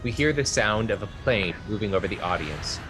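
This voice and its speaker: A man calmly reading stage directions aloud at a play table read. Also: fairly low pitch, brisk, over music. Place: art exhibition theater area.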